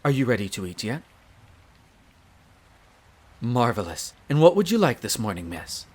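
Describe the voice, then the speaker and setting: A man's voice: mid-range voice, big pitch swings, moderate pace, darkish tone, slightly rough. A man playing a dutiful wolf butler in an audio roleplay, very formal and deferential, a little nervous. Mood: courteous, attentive. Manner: very formal, deferential, hesitant when flustered. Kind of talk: scripted audio roleplay acting.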